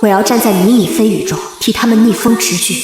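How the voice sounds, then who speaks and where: A woman portrays a proud, cool-headed young noblewoman in a costume drama. She speaks at a middling pitch with solemn resolve, and the sound is noticeably echoey. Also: quick pace, over music, close to an everyday voice. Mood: solemn, resolute. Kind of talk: dramatic voice acting with inner narration.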